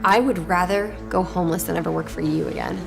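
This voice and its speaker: An actress playing an earnest, defiant employee in a scripted short drama, speaking in pointed declarations. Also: female voice, on the low side, huge pitch swings, quick pace, vocal fry, exaggerated voice. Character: earnest, defiant young female employee standing her ground. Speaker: actor in a moralistic short drama. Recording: dialogue over background music.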